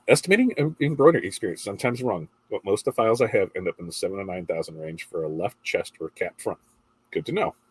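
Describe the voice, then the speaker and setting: A man's voice: medium pitch, slightly rough, dry recording. A middle-aged man with a congested voice hosts a casual morning livestream, chatting and reading viewer comments in a rambling way.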